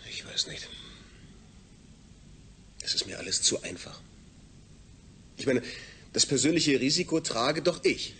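An actor playing a worn-out, skeptical astronaut in a radio drama, speaking in a sardonic, questioning way. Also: male voice, medium pitch, unhurried, long pauses, bright, forceful tone, slight background noise. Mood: dry, doubtful. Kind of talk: German science fiction radio drama acting.

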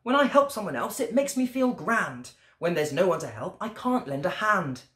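A woman's voice: lowish voice, sing-song, moderate pace, fairly bright tone, reverberant. A woman voicing a kind, brave male storybook mouse in an earnest, encouraging manner. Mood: sad.

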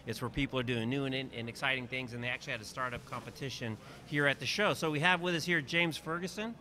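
A man's voice: mid-range voice, fast talker, bright, forceful tone. A middle-aged man hosting a live conference interview, upbeat and chatty, often restarting his questions. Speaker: interview host. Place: busy hotel conference hall, indoors. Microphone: handheld mic. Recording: noisy crowd in a conference hall. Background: crowd chatter.

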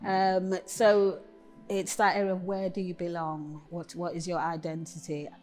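A woman's voice: fairly low pitch, little pitch movement, measured pace, a little mellow, faint music behind. A middle-aged woman poet from England, speaking candidly and reflectively in a documentary interview in a gallery room.

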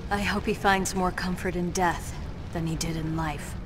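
An actress playing a cool, grim action heroine in a video game, with terse, dramatic delivery. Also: female voice, on the low side, steady tone, normal speed, noisy background, lightly acted. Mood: somber. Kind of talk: video game cutscene acting. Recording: very loud music behind the voice.

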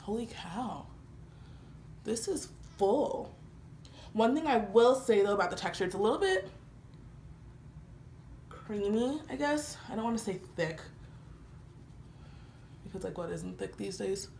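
A young woman talking casually through a makeup routine, slightly congested from a cold, chatty and relaxed with frequent fillers. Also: medium pitch, unhurried, gravelly, pauses often. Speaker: beauty vlogger. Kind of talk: casual makeup tutorial talk. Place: small indoor room, patterned wall with lights. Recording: roomy echo, faint background hiss.

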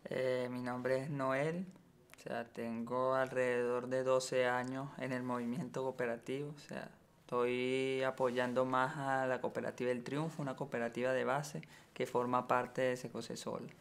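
A man's voice: mid-range voice, flat delivery, moderate pace, vocal fry, clear, resonant tone. A young man answering interview questions about his cooperative, rephrasing himself as he goes. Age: young adult. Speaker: cooperative member. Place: bright room by large windows.

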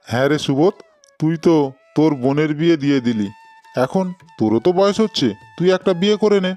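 A man plays a well-to-do village employer in a cartoon, paternal and matter-of-fact. The voice is fairly high for a man and quick, with light music underneath. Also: lively intonation, a little mellow, close to an everyday voice. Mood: advising. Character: paternal, well-off village boss advising his worker. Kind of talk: Bengali moral-story cartoon acting.

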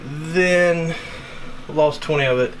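A man's voice: fairly high pitch, slow pace, slight background noise, low-quality recording. A young man vlogging casually in a small cabin, talking at arm's length to his camera with a rambling, self-deprecating manner. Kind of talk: casual vlog chat. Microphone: camera held at arm's length.

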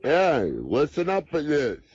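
Husky-voiced man in dull lo-fi audio. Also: a little high, huge pitch swings, a little mellow. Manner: halting, repetitive, confrontational, odd broken phrasing. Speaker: prank call caller, played through a soundboard. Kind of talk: rambling phone talk. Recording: dull lo-fi soundboard clips.

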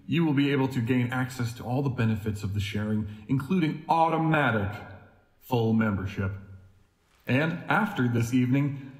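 A man playing a charismatic organization president with veiled menace, giving a deliberate, grandiose podium speech in an audio drama. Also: medium pitch, close to an everyday voice. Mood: promotional. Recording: echoey hall with long reverb.

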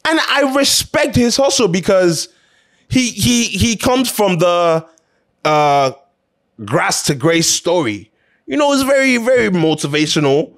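A man's voice: high-pitched, lively intonation, unhurried, fairly bright tone. A man hosting an opinion show, talking casually and emphatically in a conversational way, repeating phrases to drive his points home.

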